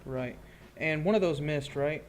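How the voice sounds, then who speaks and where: A man's voice: a little high, unhurried, slight background noise, low-quality recording. A man acting as game master, narrating a tabletop roleplaying fight in vivid, gory detail and breaking off for rules talk.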